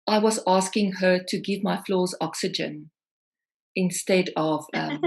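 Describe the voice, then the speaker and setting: A woman's voice: fairly low pitch, measured pace, creaky. A middle-aged South African woman, a singer-songwriter, talking reflectively over a video call with many ums. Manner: warm, reflective, frequent ums.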